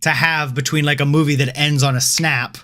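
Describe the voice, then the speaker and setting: A man co-hosting a casual podcast chat, opinionated and animated, swearing freely and tripping over his restarts. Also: a little high, fairly quick. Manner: animated, opinionated, swears freely, repeats words when starting. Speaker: podcast co-host.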